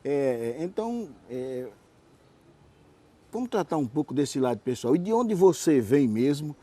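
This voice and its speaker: A man hosting a conversational interview, feeling his way through questions in a hesitant, halting manner. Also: on the high side, big pitch swings, warm tone. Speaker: interviewer, show host.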